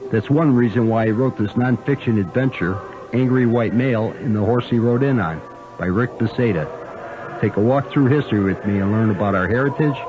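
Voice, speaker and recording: Man's radio book pitch, dull, over music. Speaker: author advertising his book. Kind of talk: opinionated radio ad read.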